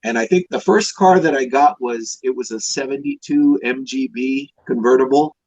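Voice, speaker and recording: A man's voice: mid-range voice, normal speed, a little mellow, no echo. A man in his fifties from Hawaii, telling personal stories casually as a podcast guest, in a rambling, conversational way. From Hawaii, United States. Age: 50s. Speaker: podcast guest, classic car restorer. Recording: dull, dry lo-fi connection.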